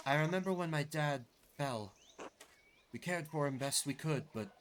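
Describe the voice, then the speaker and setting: A man's voice: mid-range voice, natural voice. A man voicing a kindly, concerned villager in game dialogue, solicitous and a little hesitant. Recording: clearly noisy background.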